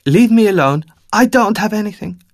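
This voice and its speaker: Man putting on a shrill scared homeless woman. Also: expressive, normal speed, no echo, clean studio sound. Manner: shrill and exasperated. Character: scared, hostile homeless woman, snapping and cursing.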